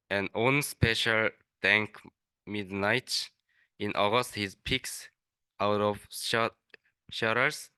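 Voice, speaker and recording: A young man, a native Korean speaker, reading a rhyming children's story aloud haltingly, stumbling over words. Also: fairly low pitch, bright, forceful tone, smooth, no room sound. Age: young adult. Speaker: student reader.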